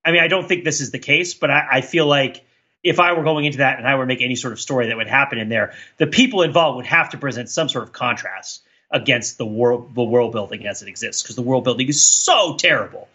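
A man's voice: medium pitch, fast, sharp tone, husky, muffled recording. A man co-hosting a podcast in casual, discursive chat, rambling and often questioning himself as he goes. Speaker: podcast co-host.

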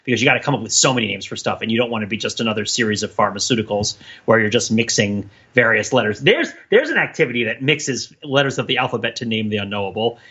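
A man's voice: lowish voice, animated, quick pace, hardly any pauses, bright, forceful tone, breathy edge. A man co-hosting a podcast with wry, wordy, playful banter about ideas, on a slightly dull recording. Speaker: podcast co-host. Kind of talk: casual intellectual banter.

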